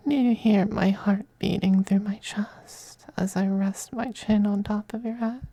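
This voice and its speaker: An actor voicing a possessive, doting male vampire noble, a femboy lover, in a soft, breathy, whispered ASMR roleplay with a coaxing, tenderly domineering manner. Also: mid-range voice, fairly even intonation, unhurried, no echo. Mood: tender, soothing.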